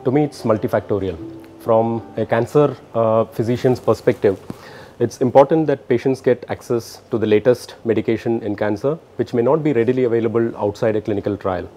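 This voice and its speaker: A man's voice: mid-range voice, a little fast, warm tone. A middle-aged man with an Indian accent, a physician explaining things in an interview to camera. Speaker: cancer physician. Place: book-lined office indoors. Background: faint music.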